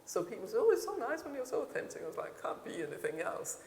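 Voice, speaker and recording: A woman's voice: on the high side, very animated, fast, vocal fry, a little mellow. A middle-aged woman from Denmark with a Danish accent, speaking candidly and reflectively as a panel speaker, with dry humour. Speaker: keynote speaker. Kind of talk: answering audience questions at a panel. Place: large hall. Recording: echoey hall with faint ambience.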